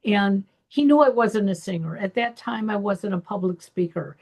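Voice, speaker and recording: A woman with a gravelly voice hosting a podcast, telling a personal story in a reflective way. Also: medium pitch, animated, moderate pace, a little mellow. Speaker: podcast host. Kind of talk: personal conversation.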